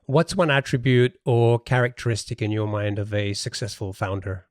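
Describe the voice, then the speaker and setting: A man's voice: on the low side, fairly even intonation, normal speed, dry recording. Man hosting a casual interview, dry close sound. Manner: hesitant, repeats words while thinking aloud. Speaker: podcast host interviewer.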